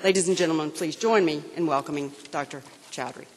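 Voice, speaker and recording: A woman's voice: low voice, fast talker, heavy background noise. A woman presenter formally and graciously introducing the next speaker at a ceremony. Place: large hall. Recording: reverberant hall.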